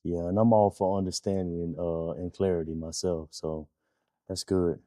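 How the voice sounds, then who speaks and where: Low, mellow-voiced male interviewer. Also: level tone, moderate pace, dry recording, clean studio sound. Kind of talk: interview questions.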